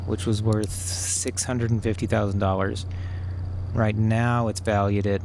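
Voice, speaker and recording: An actor playing a clever, controlled hacker plotting revenge, delivered as dry, naturalistic audio drama dialogue. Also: male voice, lowish voice, flat delivery, a little slow, noisy background. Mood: focused. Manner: matter-of-fact, dry, controlled.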